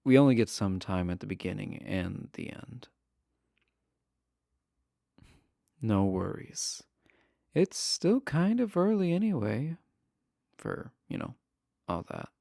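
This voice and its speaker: A man's voice: lowish voice, sing-song, a little slow, gravelly, mellow, dry recording, studio quality, heavily acted. A man playing a shy, adorkable imprisoned villain in a romantic roleplay, speaking to the listener in a hesitant, stammering, earnest way. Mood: nervous, polite.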